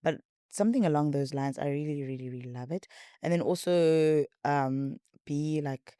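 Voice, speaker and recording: A young woman with a South African accent and a deep voice, hosting her podcast in a rambling, repetitive, chatty way. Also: normal speed, warm tone, clear, resonant tone, no room sound, clean studio sound. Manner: rambling, repetitive, chatty, says she talks a lot. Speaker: podcast host. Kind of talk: casual chat to viewers.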